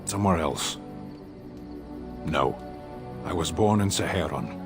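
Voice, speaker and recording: An actor playing a blunt, dutiful warrior who speaks in a flat, terse, literal way, with game music behind him. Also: male voice, very deep voice, slow pace, full character voice. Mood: stern. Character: stern giant male warrior, blunt and dutiful.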